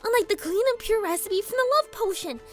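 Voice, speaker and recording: A woman voicing a grumpy, scheming young filly with a bright, girlish voice and a sarcastic, exasperated manner in a cartoon fan drama. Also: very high-pitched, lively intonation, fairly quick, soft background music. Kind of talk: fan fiction audio drama acting.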